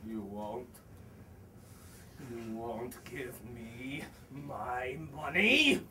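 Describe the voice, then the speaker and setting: An actor playing a loud, boorish male creditor in a theatrical stage comedy, blustering and emphatic. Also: mid-range voice, big pitch swings, drawn-out words, slight background noise, heavily acted. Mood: sardonic, restrained. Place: theatre stage, picked up from afar. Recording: distant stage recording, audience and music behind.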